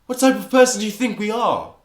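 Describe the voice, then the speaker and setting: A man's voice: sits high, sing-song, fast talker. A teenage boy from South Africa with a mixed English and American accent, joking sarcastically and swearing casually as a vlogger, recorded on a phone taped to his monitor. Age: teens. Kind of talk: casual commentary. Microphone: phone taped to a computer monitor.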